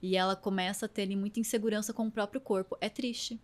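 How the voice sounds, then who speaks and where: A woman's voice: medium pitch, steady tone, fast. Brazilian woman nutritionist explaining plainly. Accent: Brazilian Portuguese. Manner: explanatory, emphatic, repeats key points. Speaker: nutritionist guest. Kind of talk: casual conversational interview.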